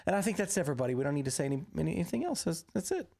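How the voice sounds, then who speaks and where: Hoarse, lively streamer greeting chat. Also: medium pitch, racing. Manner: upbeat, greets viewers by name one after another. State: hoarse, recovering from illness. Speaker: live game streamer. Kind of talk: casual live-stream chat with viewers.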